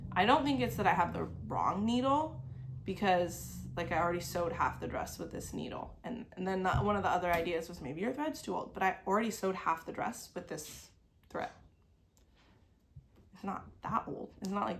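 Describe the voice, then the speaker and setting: A woman in her thirties chats from her sewing desk at home, her voice a little low and sniffly from a recent cold. She meanders through her thoughts and fills them with "like". A faint hiss sits under the recording. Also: vocal fry. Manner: meandering, talks around things with many "like" fillers. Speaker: host of a crafting video podcast. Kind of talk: casual chat.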